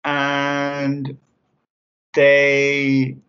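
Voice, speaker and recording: A man from England answering interview questions casually, halting with frequent ums and restarts. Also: mid-range voice, completely flat delivery, a bit bright, clean tone. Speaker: interview guest. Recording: dull lo-fi sound.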